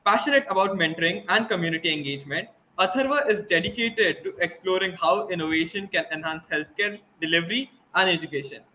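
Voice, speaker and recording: A male host with a notably high, bright voice reads a guest introduction and asks questions in a formal, brisk way. Faint ambience hums behind him. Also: a little hiss or hum, rough recording. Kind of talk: scripted introduction and interview questions.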